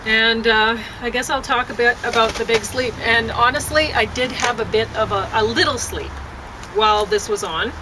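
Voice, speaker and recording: A woman chatting casually and haltingly, thinking aloud as she goes and often breaking off to rephrase. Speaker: vlogger and amateur poet. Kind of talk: casual rambling chat to camera. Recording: noisy, distant mic, traffic-like rumble.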